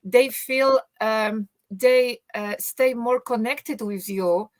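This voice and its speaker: A middle-aged woman, a university teacher speaking English as an in a relaxed interview, thinking aloud with many fillers and restarts and a joking tone. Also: on the high side, takes it slowly. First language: Polish. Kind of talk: casual conversational English, non-native.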